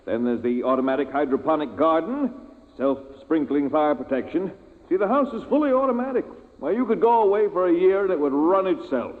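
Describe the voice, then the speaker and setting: Proud house salesman male actor: animated showman's patter, old radio play, tinny sound with long reverb. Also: on the high side, fairly quick, close to an everyday voice. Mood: proud, enthusiastic. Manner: showy patter of a guided tour. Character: enthusiastic salesman showing off an automated house. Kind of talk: 1950s radio drama acting.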